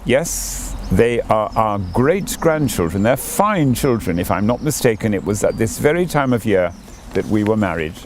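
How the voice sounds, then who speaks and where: Man reading as a gentle old sailor, nostalgic. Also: on the low side, sing-song, moderate pace, a little hiss or hum. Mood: fond, nostalgic. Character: affectionate old sailor husband, reminiscing great-grandfather.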